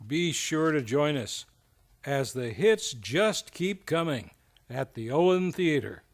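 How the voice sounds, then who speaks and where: A man's voice: on the high side, expressive, slow. A man performing a scripted two-person radio advertisement for a theater. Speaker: radio promo announcer.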